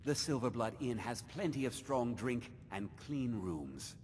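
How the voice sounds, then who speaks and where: A man voicing a gruff bickering innkeeper. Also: mid-range voice, expressive, a little slow, a little mellow, big-room sound, a little hiss or hum, close to an everyday voice. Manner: grumbling, sarcastic. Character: gruff male innkeeper bickering with his wife.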